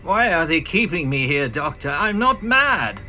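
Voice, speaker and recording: Bitter, swooping mad little scientist. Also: male voice, on the high side, sing-song, bright, forceful tone, soft background music, heavily acted. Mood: distressed, pleading. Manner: agitated, pleading, melodramatic, halting. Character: small, bitter, frantic mad scientist, male professor. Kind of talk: dramatic vintage comic audio drama.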